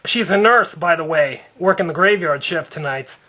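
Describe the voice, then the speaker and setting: A man putting on a high-voiced, stammering outraged father. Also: bright, forceful tone. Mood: indignant, insistent. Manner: halting, stammering, repetitive. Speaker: prank caller. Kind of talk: prank phone call, improvised complaint.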